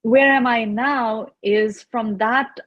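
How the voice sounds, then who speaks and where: A woman's voice: a little high, steady tone, slow, highs cut off. A woman in her twenties with a Nepali accent, giving an earnest, formally phrased personal presentation over a video call. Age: 20s. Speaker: youth representative and panel speaker. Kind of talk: personal presentation to an online audience.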